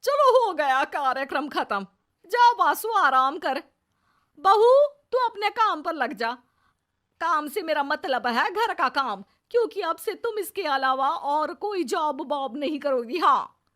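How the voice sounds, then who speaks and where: A woman's voice: high voice, animated, takes it slowly, a bit bright, pitched above the performer's own voice, heavily acted. A woman voicing a cruel, bossy middle-aged mother-in-law who scolds and taunts in a cartoon moral story. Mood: bossy, dismissive.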